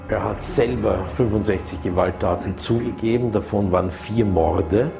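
A man's voice: lowish voice, normal speed, vocal fry, music in the background. A man giving a factual account in an interview.

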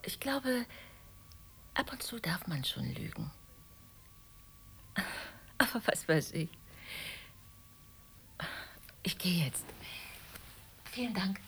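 An actress playing an anxious, chatty, apologetic middle-aged shopkeeper in a radio drama, rambling on politely and breathily. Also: female voice, fairly low pitch, sing-song, slow pace, creaky, long pauses, in a whisper, exaggerated voice. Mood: gently insistent.

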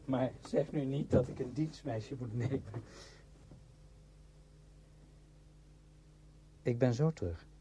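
An actor playing a cold, self-absorbed man with a secret in a Dutch radio play, speaking huskily and deliberately. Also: medium pitch, dark tone, slight background noise, natural voice. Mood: curt, secretive. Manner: deliberate, halting when confessing. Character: cruel, self-absorbed male conductor with a secret, confiding.

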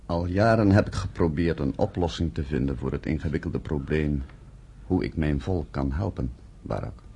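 Very low male voice, husky and dark, solemn village headman, earnest, deliberate, radio drama. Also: full character voice.

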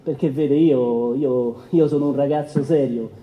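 An actor playing a crude, self-justifying married defendant, halting and defensive in a courtroom radio drama. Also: male voice, mid-range voice, fairly even intonation, natural voice. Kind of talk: staged documentary courtroom drama. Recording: dull, lo-fi radio theatre recording.

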